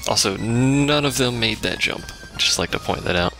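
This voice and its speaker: A man's voice: mid-range voice, expressive, normal speed, creaky. A man doing casual let's play commentary, full of wry, sarcastic asides. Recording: game music behind the voice.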